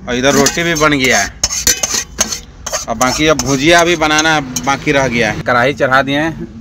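A man in his forties, a truck driver, chatting to viewers as he films himself on a phone held at arm's length, outdoors by a highway and in his truck cab. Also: normal speed. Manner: chatty, addresses viewers as friends. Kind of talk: casual vlog narration. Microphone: phone camera at arm's length. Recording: loud traffic and road noise. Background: vehicles and traffic.

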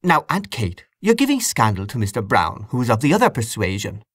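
A man's voice: fairly high pitch, sing-song, fairly quick, no room sound, clean studio sound, pitched above the performer's own voice. A man reading an audiobook, voicing a polite young woman, a niece who coaxes and pacifies. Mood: pacifying. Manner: pacifying, coaxing. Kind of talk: acted audiobook dialogue.

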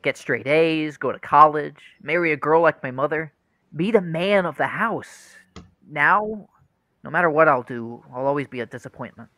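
Actor as an earnest, bright-voiced troubled young man. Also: a little high, moderate pace, no room sound. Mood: downcast. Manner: hesitant, confessional. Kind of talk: radio play acting.

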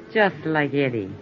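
Flirty Latin bar hostess, lively pitch. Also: female voice, full character voice. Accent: Latin American Spanish. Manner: broken English sprinkled with Spanish. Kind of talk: 1950s radio drama acting. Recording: muffled old radio recording with music.

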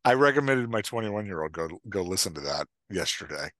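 A man's voice: sits low, animated, brisk, fairly bright tone, no room sound, clean studio sound. A man in his forties co-hosting a casual podcast discussion in a playful, joking manner, speaking into a headset mic on a video call. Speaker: podcast host and dialogue coach. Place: indoor room at home, video call.

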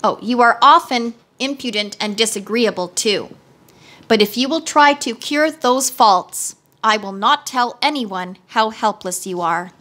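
A woman reading a storybook aloud, voicing a calm, unemotional copper clockwork man who speaks in measured, commanding tones. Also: on the high side. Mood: stern.

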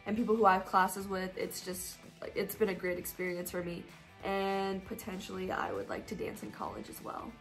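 A woman's voice: lowish voice, a bit bright, poor audio. Chatty teenage girl answering questions. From Colorado, US. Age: teens. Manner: chatty, upbeat, rambling with fillers. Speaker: YouTuber answering viewer questions. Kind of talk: casual Q&A vlog.

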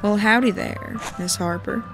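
An actor playing a cocky, foul-mouthed young sheriff in a Western audio drama, with a creaky voice and folksy, combative frontier talk. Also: medium pitch, measured pace, over music, close to an everyday voice.

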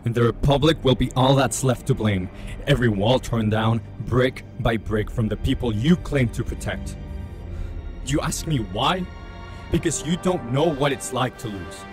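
A man's voice: mid-range voice, moderate pace, a little mellow, soft background music, close to an everyday voice. A man playing a vengeful, grieving father and villain in an audio drama, with grandiose, menacing delivery.

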